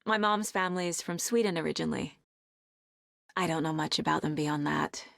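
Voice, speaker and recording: A woman playing a focused, intuitive female FBI agent in a video game, speaking in a measured, introspective and intense way. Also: deep voice, gravelly, fairly bright tone, dry recording, studio quality. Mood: focused, pensive. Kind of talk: video game voice acting, investigative monologue.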